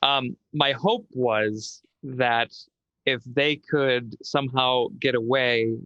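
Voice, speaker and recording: Male tabletop player. Bright tone, casual, thinking aloud, somewhat dull recording. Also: mid-range voice, fairly even intonation, slow pace, no room sound. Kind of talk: casual chat during a roleplaying game.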